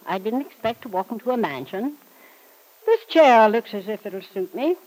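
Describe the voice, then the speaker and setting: A woman's voice: fairly high pitch, animated, moderate pace, a little mellow, poor audio. An actress playing a brusque, imperious wealthy old woman in a vintage radio serial, with formal, commanding diction. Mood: imperious, impatient. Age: older. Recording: vintage radio drama recording.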